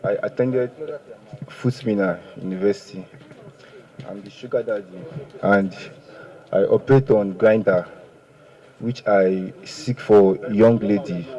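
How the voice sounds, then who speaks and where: A man's voice: lowish voice, steady tone, slow pace, pauses often, dark tone. A man in his twenties with a Nigerian accent, reciting a confession deferentially and repeating himself. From Ogun State, Nigeria. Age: 20s. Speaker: paraded fraud suspect. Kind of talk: public confession, reciting personal details. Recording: dull, muffled audio.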